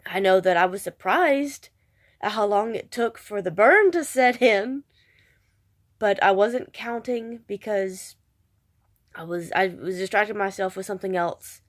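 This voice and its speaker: A woman's voice: mid-range voice, a little slow. A woman with a stuffed-up, congested voice, chatting casually in a vlog and rambling with self-deprecating restarts. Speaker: vlogger.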